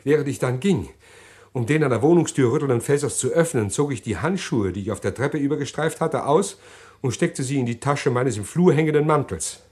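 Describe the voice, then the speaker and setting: An actor playing a middle-aged man who calmly confesses a murder plot in an old radio play, measured and hesitant. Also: medium pitch, lightly acted. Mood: calm confessing. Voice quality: measured, deliberate, hesitant with pauses. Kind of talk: 1950s radio drama acting.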